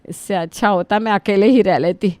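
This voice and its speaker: A woman's voice: mid-range voice, fairly even intonation, moderate pace, a little mellow, dry recording, close to an everyday voice. A woman playing a complaining old mother-in-law. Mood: hurt. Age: older. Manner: wounded, scolding, sighing to God. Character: aging mother-in-law, put-upon and complaining. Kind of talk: Hindi family drama voice acting.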